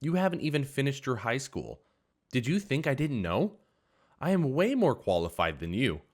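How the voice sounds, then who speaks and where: A man's voice: medium pitch, big pitch swings, normal speed, pitched above the performer's own voice. A man voicing a young woman restaurant owner who lectures a rude employee sternly.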